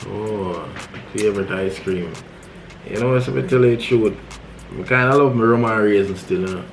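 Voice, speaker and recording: A man's voice: lowish voice, moderate pace, a little hiss or hum. A young man from Jamaica with a Jamaican accent, co-hosting a family vlog in casual chat, laid-back and laughing often. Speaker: vlog co-host. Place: home bedroom.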